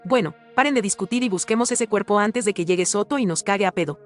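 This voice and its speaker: An actor with an Argentine accent playing an inquisitive, practical police officer in a radio drama. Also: male voice, high-pitched, fast talker, faint music behind, close to an everyday voice. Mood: impatient.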